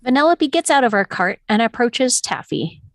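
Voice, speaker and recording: A woman's voice: a little high, very animated, normal speed, dry recording, studio quality. A woman reading screenplay stage directions as scripted narration.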